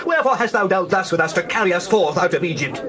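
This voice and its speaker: A man's voice: high-pitched, lively intonation, fast, a crowd in the background. A man playing a frightened Israelite who complains bitterly, accusing and pleading, in a dramatized scripture production. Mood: fearful, accusing.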